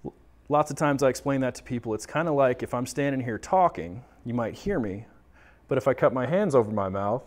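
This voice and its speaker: A man's voice: medium pitch, lively intonation, a little fast. An American man in his thirties presenting a casual, conversational product explainer, often posing questions and then answering them. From Texas, United States. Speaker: tech talk presenter explaining audio products. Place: small indoor studio office, seated or standing at a table.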